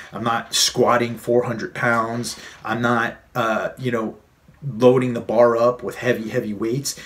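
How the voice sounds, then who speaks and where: A man in his late thirties hosting a fitness advice vlog, earnest and conversational, repeating key points for emphasis. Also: mid-range voice. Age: 30s. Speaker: fitness coach and vlog host.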